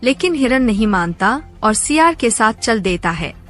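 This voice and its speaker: Higher-pitched woman narrating children's stories. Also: sing-song, measured pace, fairly bright tone, faint music behind. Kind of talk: scripted children's story narration.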